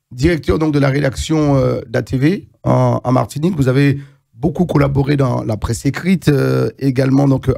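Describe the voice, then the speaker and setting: A middle-aged man hosts a studio TV interview, with a gravelly edge on a mid-pitched voice. He introduces his guest hesitantly, with many fillers and restarts. He talks into a lapel mic in the studio. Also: mellow. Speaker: TV show host.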